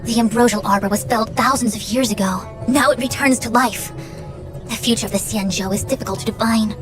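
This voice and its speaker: An actress plays a haughty young woman diviner with a high voice, crisply formal and imperious. She speaks as a game character used to command and easily exasperated, over a clear music bed. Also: a little fast, lightly acted. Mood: grave, brisk. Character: haughty, brisk young female master diviner, authoritative and exasperated. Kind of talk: scripted video game voice acting.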